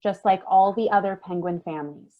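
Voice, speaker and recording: A woman's voice: mid-range voice, fairly quick. A woman actor giving a dramatic reading of a storybook. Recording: dull lo-fi recording.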